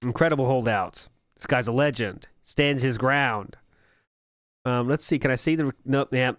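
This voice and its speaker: A man's voice: mid-range voice, moderate pace, a bit bright, no echo, studio quality. A man hosting a podcast, giving sarcastic, mocking commentary on old posts and live chat and laughing often. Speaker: podcast host.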